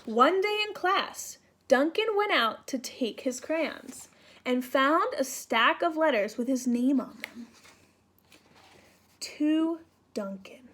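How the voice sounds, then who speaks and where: High, slow, lively woman reading to children. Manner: simple, question-led, child-directed. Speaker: children's read-aloud teacher. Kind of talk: explaining and reading a picture book to young children.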